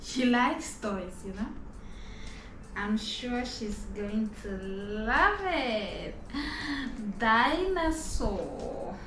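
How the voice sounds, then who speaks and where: A woman from Nigeria unboxing gifts at home in a casual vlog, excited and often gasping, softly talking to herself. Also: on the high side, very slow. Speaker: vlogger. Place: home in Dubai. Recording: echoey room with clear background noise.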